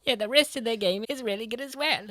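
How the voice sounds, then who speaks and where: A woman's voice: fairly high pitch, quick pace, fairly bright tone, dry recording. Woman playing an earnest, nerdy gamer girl, close-up. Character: earnest, nerdy young female gamer, fond of puns. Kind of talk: comic dub dialogue, gaming banter.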